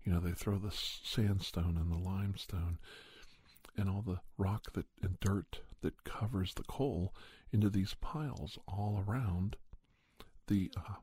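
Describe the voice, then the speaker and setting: A soft-spoken man telling a first-person story in an ASMR style, often dropping toward a whisper. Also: deep voice, fairly even intonation, a little slow, slightly rough, no room sound. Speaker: storyteller and podcast host.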